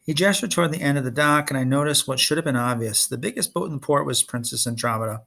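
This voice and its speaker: Creaky-voiced man reading aloud. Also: medium pitch, quick pace, a bit bright. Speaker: storybook reader. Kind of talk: expressive read-aloud narration.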